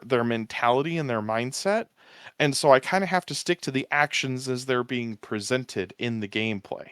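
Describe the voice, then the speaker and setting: A man guest talking easygoing and rambling about his commentary work, with a smooth voice. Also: mid-range voice, dry recording, studio quality. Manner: easygoing, rambling, restarts with fillers. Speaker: podcast guest, tournament commentator. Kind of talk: casual interview chat.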